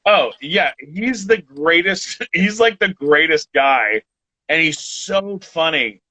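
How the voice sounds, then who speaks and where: A man in his forties, a podcast guest chatting casually, rambling and halting with many restarts. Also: on the high side, lively intonation, sharp tone, no room sound. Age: 40s. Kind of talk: casual chat. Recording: dull lo-fi sound.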